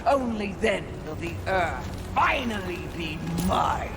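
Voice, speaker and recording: A gloating demoness from a video game. She schemes and taunts theatrically, swinging widely in pitch and taking her time. Loud music plays behind her. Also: lively intonation, slow. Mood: triumphant. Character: gloating female demonic sin of envy, cunning schemer. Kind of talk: video game cutscene acting.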